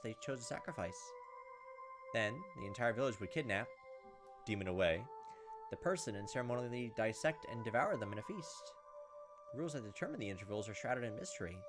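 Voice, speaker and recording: Quick-talking man narrating a visual novel. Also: medium pitch. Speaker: gaming video host. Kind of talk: reading visual novel text aloud with commentary. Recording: game music and insect sounds behind.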